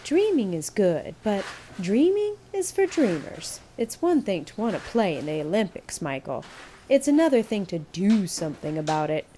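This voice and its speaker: A woman's voice: mid-range voice, very animated, moderate pace, warm tone, slight background noise, lightly acted. A woman voicing a no-nonsense, loving mother who talks firmly to her children in a storybook. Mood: firm, advising. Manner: firm, admonishing. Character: firm, loving mother of young boys, no-nonsense.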